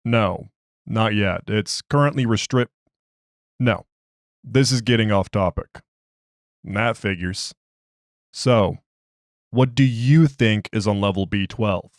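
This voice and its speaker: A man's voice: lowish voice, slow pace, long pauses, no room sound, clean studio sound. A man voicing a skeptical research doctor who questions a prisoner in formal, clipped, dismissive speech. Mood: stern, curt. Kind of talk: dramatized interview log.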